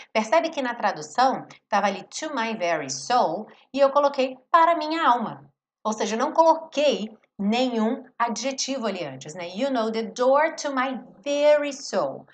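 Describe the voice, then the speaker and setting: Lively, animated woman teaching English. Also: on the high side. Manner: lively, emphatic teaching, slows down to read English phrases. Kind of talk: online English lesson explaining song lyrics. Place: home studio, live stream.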